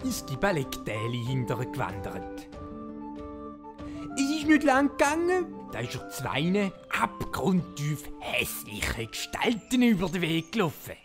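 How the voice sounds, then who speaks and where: Lively Swiss German male storyteller over music. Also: on the high side, unhurried. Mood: storytelling. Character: animated, tongue-in-cheek storyteller of a folk-legend parody. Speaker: narrator. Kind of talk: comic audio drama narration, storytelling.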